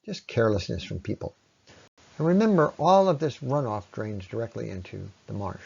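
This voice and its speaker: A man presenting an explanatory slide talk in a conversational, unscripted way, with halting phrasing and frequent pauses. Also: lowish voice, animated, creaky, darkish tone, slightly rough, dull audio. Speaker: presenter, ecology lecturer.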